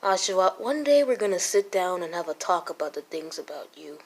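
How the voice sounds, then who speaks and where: A woman voicing a tiny, excitable electric mouse creature in a fan comic dub, squeaky and blunt. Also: a little fast. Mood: exasperated.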